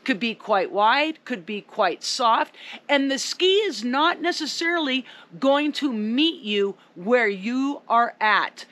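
A woman's voice: on the high side, expressive, slow pace. A woman ski coach speaking emphatically to camera outdoors in the snow. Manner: emphatic, upbeat, loud. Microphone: camera a few meters away.

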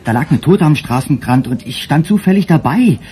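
A man's voice: a little high, lively intonation, a little fast, mellow, lightly acted. A man playing a nervous, defensive witness, agitated and hesitant, in a German crime radio play. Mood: uneasy, defensive. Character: nervous, defensive male witness, working in hospitality.

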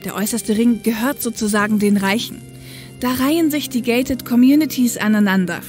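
A woman's voice: a little high, brisk, a little mellow, soft background music, natural voice. A woman acting a sober young female co-pilot. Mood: matter-of-fact. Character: female police helicopter co-pilot from Cairo, sober, caring older sister. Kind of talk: German audio drama acting.

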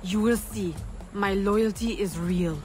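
A woman's voice: mid-range voice, slow, a little mellow, soft background music, natural voice. A woman playing a determined, earnest young assassin in a video game. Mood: urgent. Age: young adult. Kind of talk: video game cutscene acting.

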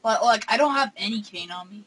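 Young gamer host, high lively voice, bright tone, excitable and halting; home-room recording. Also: male voice, slightly rough, low-quality recording. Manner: excitable, gasping, hesitant fillers. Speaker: gaming channel host. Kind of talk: excited let's play commentary. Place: home room with console screen.

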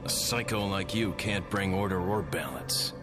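A man's voice: low-pitched, lively intonation, moderate pace. An American man playing an earnest, defiant young agent in a video game, terse and confrontational. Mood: wary, defiant. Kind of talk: video game cutscene acting. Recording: music under the voice.

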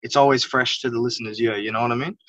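A young man talks loosely and at length, leaning on filler words as he rambles. His voice comes through a dull, low-fidelity video call. He sits back from the microphone, so he sounds a little distant. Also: steady tone, fast talker, a bit bright, no room sound. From Wales. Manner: rambling, heavy use of "like" and "you know what I mean". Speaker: music producer guest. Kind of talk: casual interview chat. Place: inside a car. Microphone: phone or laptop camera on a video call.

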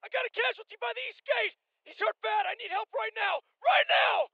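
An actor playing a desperate US Marine, yelling urgently in battle for a shooter game. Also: male voice, very high-pitched, brisk, dry recording, muffled recording. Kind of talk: screamed combat lines for a military shooter game.

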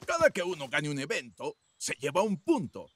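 An actor playing an upbeat, enthusiastic activity-center coach in a Spanish cartoon dub. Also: male voice, a little high, wildly swooping pitch, normal speed, faint music behind. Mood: hyped. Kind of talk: Spanish-dubbed cartoon acting.

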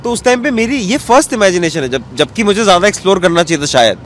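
A man's voice: high-pitched, sing-song, fast, fairly bright tone. A man in his thirties, a cartoonist guest, answering interview questions at length and mixing in English terms, recorded outdoors on a handheld mic. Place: outdoors in an open courtyard. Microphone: handheld mic held out by interviewer. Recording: faint outdoor street noise.

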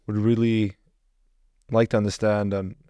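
A man hosting a podcast, introducing and questioning a guest in a measured, halting manner. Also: fairly low pitch, little pitch movement, mellow, clean tone, dry recording, studio quality. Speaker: podcast host, interviewer.

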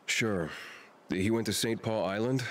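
A man's voice: on the low side, measured pace, a little hiss or hum, natural voice. An actor playing a laconic, wry male survivor hero.

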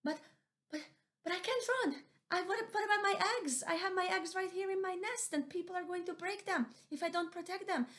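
A high, thin little mother owl, put on by a woman storyteller, fussy and protective of her nest, her voice perched far above an ordinary speaking voice. she frets and stammers when she gets frightened, like a creature from a folk storybook. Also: expressive, moderate pace. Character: tiny anxious mother owl guarding her eggs.

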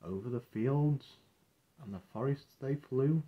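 A man's voice: medium pitch, a little slow. A man in his thirties reading a children's storybook aloud expressively as storyteller, with muffled sound. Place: small curtained room indoors. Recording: muffled, narrow-band sound.

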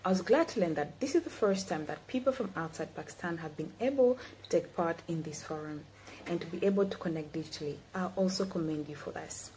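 A woman's voice: fairly low pitch, darkish tone, a little hiss or hum, poor audio. A young woman in her twenties from Tanzania delivers a prepared, earnest speech to a youth forum over a video call. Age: 20s. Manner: measured, earnest, read-from-notes delivery. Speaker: guest speaker. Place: indoor room. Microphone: laptop webcam, video call.

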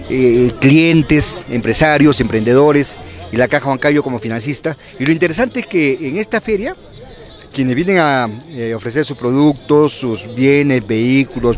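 Man speaking at an outdoor fair. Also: medium pitch. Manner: fluent, promotional, with many fillers and tag questions. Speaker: bank executive interviewed. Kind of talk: outdoor press interview. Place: outdoor fairground, busy event. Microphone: handheld reporter microphone.

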